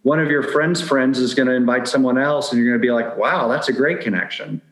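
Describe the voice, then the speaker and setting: A man's voice: medium pitch, brisk. Echoey-sounding man giving casual advice. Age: 40s. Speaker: podcast guest, dating advice coach.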